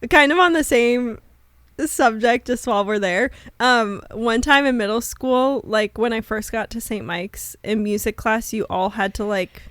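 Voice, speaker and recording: Young woman cohosting a podcast, laughs a lot, says like constantly, kinda high voice, dead dry room. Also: measured pace, a bit bright, no echo. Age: 20s. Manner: giggly, lots of fillers, laughs often. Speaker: podcast co-host. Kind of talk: casual chat. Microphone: studio mic with headphones.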